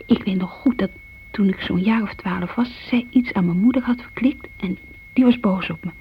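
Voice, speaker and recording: An actress playing an insecure grown younger sister, confiding uneasily in a radio play monologue on an old recording. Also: female voice, mid-range voice, normal speed, close to an everyday voice. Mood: anxious, confiding. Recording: muffled radio-play recording with faint hum.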